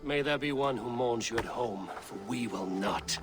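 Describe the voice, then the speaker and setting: An actor playing a steadfast, commanding Viking raider leader in a game, speaking formally and deliberately like an orator. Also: male voice, mid-range voice, in a large hall, over loud music, natural voice. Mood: grave. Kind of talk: cinematic game dialogue, formal archaic speech.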